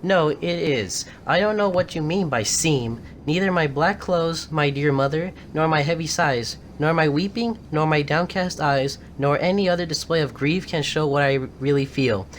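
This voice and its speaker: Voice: medium pitch, normal speed, few pauses, a little hiss or hum, lightly acted. An actor playing a gloomy, weary young prince in mourning, speaking theatrical Shakespearean lines in broken, declamatory exclamations. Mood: bitter, subdued. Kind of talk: theatrical Shakespearean soliloquy and dialogue. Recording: music playing clearly behind the voice.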